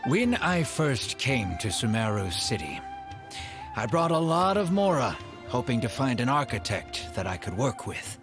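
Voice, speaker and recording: An actor in a video game playing an earnest, proud man of the desert, speaking in formal, measured phrases. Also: medium pitch, big pitch swings, over music. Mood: guarded, explanatory. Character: earnest, proud desert-dwelling man, elderly or ailing, apologetic.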